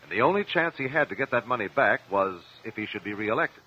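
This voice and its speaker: Pushy american defense lawyer, old radio drama, swingy pitch, bit husky, dull old broadcast sound. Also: male voice, lively intonation, fairly quick, pushed and bright. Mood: probing. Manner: articulate, pressing, courtroom formality. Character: sharp, persistent male defense lawyer in a courtroom.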